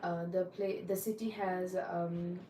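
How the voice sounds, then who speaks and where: Young woman, soft-spoken applicant type, hesitant and polite, slightly low voice, caught from across a room. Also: little pitch movement, a little slow, reverberant, recorded from a distance, a little hiss or hum, poor audio. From Nepal. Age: young adult. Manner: hesitant, polite, many fillers. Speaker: visa applicant in a mock interview. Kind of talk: practice visa interview answers.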